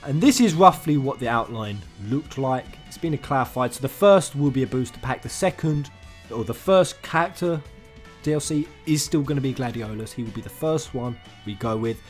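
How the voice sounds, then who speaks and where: A man giving casual, sweary gaming news commentary as a channel host, excitable and informal in manner. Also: medium pitch, moderate pace. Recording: music under the voice. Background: background music.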